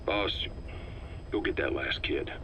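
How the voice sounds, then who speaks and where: An actor playing a stern, hard-line military intelligence officer in a video game, measured, authoritative and accusing. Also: male voice, extremely low pitch. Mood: grave, measured. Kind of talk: video game audio drama acting. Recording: dull, hissy cassette tape recording.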